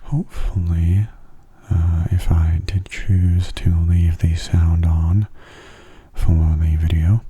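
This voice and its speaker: Soft, breathy low-voiced man, very mellow. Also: flat delivery. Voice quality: soft, breathy, often half-whispered. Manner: gentle, unhurried, low-key. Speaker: gamer giving gameplay commentary. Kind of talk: soft-spoken ASMR gameplay commentary.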